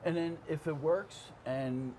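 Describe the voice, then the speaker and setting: A man's voice: a little high, slow, warm tone. An older man, a veteran fire officer, chatting casually as an interview guest in a plainspoken way, often checking in with the listener. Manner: plainspoken, checks in with "okay?" and "you know". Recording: clear background room noise.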